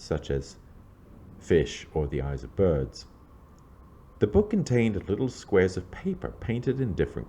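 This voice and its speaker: A man reading scripted historical narration in a measured, formal, scholarly manner. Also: sits low, dark tone, slight background noise. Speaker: lecturer reading own introduction.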